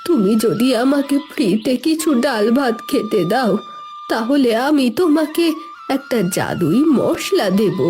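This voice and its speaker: A woman's voice: high-pitched, very animated, a little slow, a little mellow, faint music behind. A woman voicing a poor, hungry old woman in a cartoon, humbly pleading. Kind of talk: cartoon voice acting.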